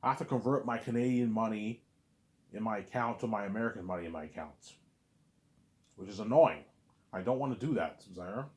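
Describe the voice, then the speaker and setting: A man's voice: lowish voice, fairly quick, pauses often, a little mellow. A man talking to camera in a casual, rambling monologue, blunt and conversational, who keeps repeating and restarting his words. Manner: repetitive, restarts words often; blunt, conversational, asks "you know what I'm saying". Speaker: vlogger.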